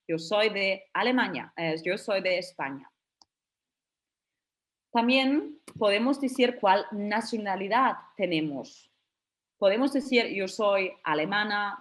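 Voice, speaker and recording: A woman teaches a beginners' webinar at an unhurried pace. She explains patiently and pronounces each example phrase slowly and carefully, in a mid-range voice. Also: medium pitch. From Germany. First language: German. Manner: slow, careful demonstrations of German phrases between Spanish explanations. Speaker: German teacher.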